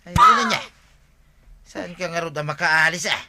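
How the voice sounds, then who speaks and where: A man's voice: fairly high pitch, fairly even intonation, moderate pace, pushed and bright. A man acting in a Filipino radio comedy as a chummy, teasing, meddling village friend with an Ilocano accent.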